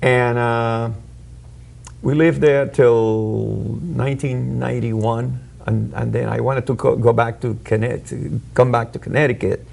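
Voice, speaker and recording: A man born in Puerto Rico, a guest on a talk show, telling his story haltingly with many pauses and restarts. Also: medium pitch, steady tone, gravelly, darkish tone, soft background music.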